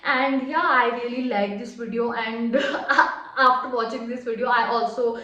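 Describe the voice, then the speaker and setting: A woman's voice: on the high side, fairly even intonation, normal speed, muffled recording. A young Indian woman with an Indian accent giving hesitant, casual reaction commentary full of fillers. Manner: hesitant, many fillers, laughs softly. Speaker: reaction video co-host. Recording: echoey room, distant mic.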